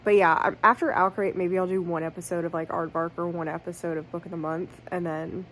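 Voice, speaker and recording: A woman's voice: fairly low pitch, little pitch movement, a little fast, a bit bright. A woman in her thirties vlogging about books in a rambling, casual way, tired and congested, recorded as a lo-fi phone clip. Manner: rambling, self-correcting, fillers like "like". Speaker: book vlogger. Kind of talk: casual chatty vlog. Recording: faint background noise, lo-fi phone clip.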